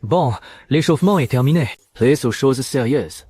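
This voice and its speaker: Guy doing sly young gamer hero, calculating, normal pitch, bit of noise, anime dub. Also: normal speed, natural voice. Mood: cold, confident. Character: cunning young male player, feigning weakness, secretly powerful. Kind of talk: dubbed animated series acting.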